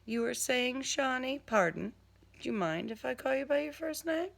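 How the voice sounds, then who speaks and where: A man's voice: extremely high pitch, animated, moderate pace, gravelly, a bit bright, voice pushed up, full character voice. A woman voicing a coolly polite, menacing male serial killer in an audiobook, with formal, genteel wording. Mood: cool, mocking.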